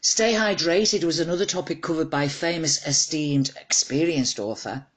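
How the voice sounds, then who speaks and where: A woman's voice: low-pitched, normal speed. A woman narrating a scripted short story as its author, in a wry, dramatised reading.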